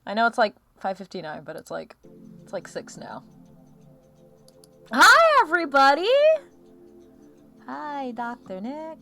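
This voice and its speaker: A woman's voice: high voice, very animated, measured pace, creaky, long pauses, bright, forceful tone. A woman hosting a livestream in casual, rambling chat that often interrupts itself, with a slight lisp. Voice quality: slight lisp from a missing molar. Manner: rambling, chatty, self-interrupting. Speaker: streamer hosting an art livestream. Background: lo-fi music track.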